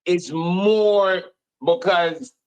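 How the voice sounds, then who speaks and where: A man with a fairly high voice is being interviewed in a radio studio. He talks in a casual, slangy way, animated and opinionated, often repeating a phrase to drive it home. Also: extremely slow, clean tone, low-quality recording. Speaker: interview guest. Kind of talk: casual chat, storytelling.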